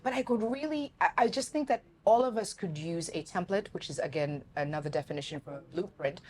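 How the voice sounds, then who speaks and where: Lively, laughing woman interview host. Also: lowish voice, a little fast, a little hiss or hum. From Uganda. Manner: lively, laughing, addresses viewers as family. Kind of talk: casual interview talk.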